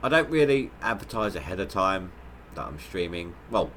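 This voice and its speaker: Low-voiced man with a stuffy nose. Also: big pitch swings, normal speed, a bit bright, a little hiss or hum. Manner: rambling, stop-start, self-mocking. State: nasal from a head cold. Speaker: gaming livestreamer. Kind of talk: casual gameplay commentary.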